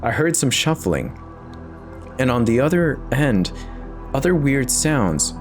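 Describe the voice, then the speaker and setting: A man giving a dramatic reading of a first-person horror story, somber and confessional, with dramatic pauses. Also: medium pitch, very animated, over music.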